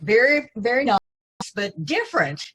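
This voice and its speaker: A woman's voice: a little high, big pitch swings, moderate pace. A woman, one of the cast, chatting casually over a distant-sounding video call with chatter behind her. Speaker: cast member. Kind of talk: casual chat.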